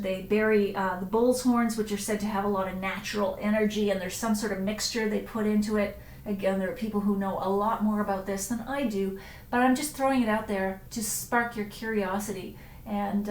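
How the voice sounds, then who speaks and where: A woman's voice: medium pitch, steady tone, normal speed, roomy sound, rough recording. A woman hosting a casual live stream, chatting about wine and answering viewers' comments as they come in, with frequent ums and self-corrections.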